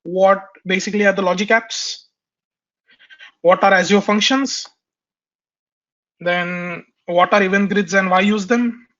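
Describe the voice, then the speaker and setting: A man's voice: sits high, steady tone, measured pace, pauses often, sharp tone. Nasal man presenting a tech webinar. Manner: hesitant, many fillers and restarts. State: nasal from a cold. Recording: dull lo-fi webinar audio.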